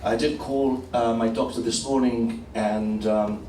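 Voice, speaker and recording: Slow nasal man in echoey hall. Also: mid-range voice, fairly even intonation, warm tone, far from the mic, a little hiss or hum. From Gibraltar. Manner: slow, measured, declamatory delivery. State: head cold, nasal. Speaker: speaker at a community event. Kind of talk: formal prepared address. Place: hall at a public event.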